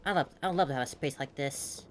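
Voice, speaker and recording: A woman's voice: sits low, fast. A woman giving casual game playthrough commentary, musing to herself in murmured reactions. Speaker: game streamer. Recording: clear game music behind voice. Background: game music.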